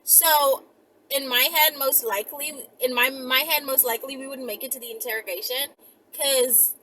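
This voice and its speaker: A woman's voice: high voice, steady tone, moderate pace, bright, forceful tone, low-quality recording. A woman video host chatting casually and rambling to viewers in a blunt, emphatic, profane, conversational way.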